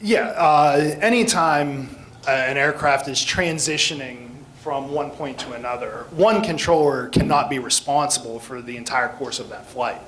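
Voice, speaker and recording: A man's voice: mid-range voice, normal speed, fairly bright tone, slight background noise, poor audio. An American man speaking as a guest instructor, explaining things plainly in an informal talk with questions from listeners. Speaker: guest speaker, air traffic control instructor.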